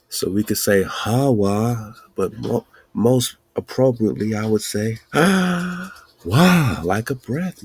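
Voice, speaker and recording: A man's voice: mid-range voice, darkish tone, husky, dry recording. A man hosting a podcast, giving an emphatic, chanting, preaching-style teaching monologue, laughing often. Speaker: podcast host.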